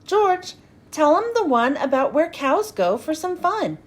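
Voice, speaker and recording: A woman's voice: high voice, sing-song, unhurried. A woman voicing a chatty, wisecracking male monster sidekick in a children's storybook. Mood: prompting.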